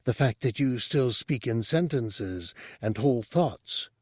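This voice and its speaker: A man's voice: medium pitch, expressive, measured pace, darkish tone, breathy edge, no room sound, clean studio sound. A man voicing a small, sly but earnest reptilian alien in an audiobook story. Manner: earnest, respectful pupil.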